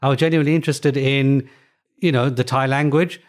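A man's voice: mid-range voice, fairly even intonation, brisk. Man chatting thoughtfully in an interview. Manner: thoughtful, lots of "you know" fillers. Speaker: guest, author of language books. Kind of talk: relaxed interview talk.

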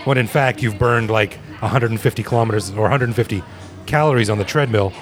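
A man's voice: on the low side, quick pace, some chatter behind. A man co-hosting a casual interview, asking questions and joking at his own expense. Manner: self-mocking, dry humor. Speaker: co-host.

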